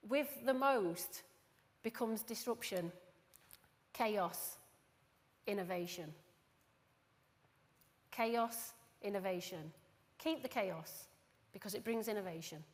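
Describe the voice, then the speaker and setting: A woman in her forties with a Yorkshire accent, giving a jokey, self-deprecating conference talk full of asides and fillers. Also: a little high. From Doncaster, England. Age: 40s. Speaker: conference speaker. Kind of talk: chatty, jokey presentation. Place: conference hall. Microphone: handheld or lapel mic. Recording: long hall reverb.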